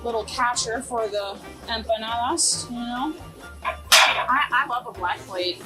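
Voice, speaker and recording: A woman's voice: a little high, a little slow, over loud music, poor audio. A young American woman chatting casually through a cooking demonstration as a chef, informal, slangy and enthusiastic. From Greensboro and High Point, North Carolina, United States. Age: young adult. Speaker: food truck chef.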